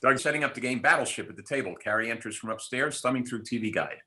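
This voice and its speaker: Bright male voice presenting a script. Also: medium pitch, animated, fast. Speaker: co-creator presenting and reading stage directions. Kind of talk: live event introduction and stage-direction reading.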